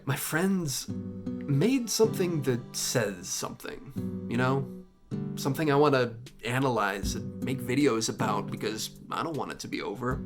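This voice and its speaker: A man delivers a video essay with big, animated swings in his voice. He is emphatic and theatrical, slipping into jokey asides and interrupting himself. Music plays clearly behind him. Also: medium pitch. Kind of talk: scripted video essay narration with comic asides.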